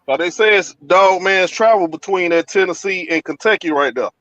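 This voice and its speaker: A man from Georgia telling of a frightening night encounter over a call from his van, in animated, colloquial speech. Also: high voice, normal speed, dry recording, highs cut off. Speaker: guest telling an encounter story. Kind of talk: casual storytelling over a call.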